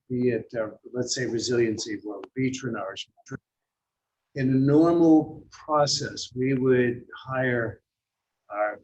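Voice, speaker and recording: Dull, slightly noisy meeting audio carries an American man from Massachusetts speaking as board chair. He explains informally, often pausing and restarting his sentences. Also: mid-range voice, darkish tone. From Massachusetts, USA. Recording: muffled, lo-fi meeting audio with noise.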